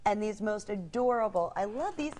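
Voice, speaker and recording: A woman's voice: medium pitch, expressive, normal speed, a little mellow, slight background noise. A woman hosting a shopping channel, presenting products casually with a gushing manner.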